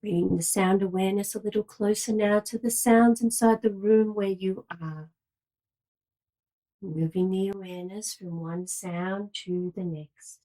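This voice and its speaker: A woman leading a guided relaxation meditation as a yoga nidra guide, giving calm, measured, soothing instructions with long pauses. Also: medium pitch, rough recording.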